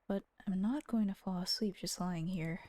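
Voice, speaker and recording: A woman voicing a fretful young master of a gothic house in a visual novel, brooding to himself in a breathy half-whisper. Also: medium pitch, warm tone, no echo, natural voice. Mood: uneasy, hushed. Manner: slow, brooding self-talk.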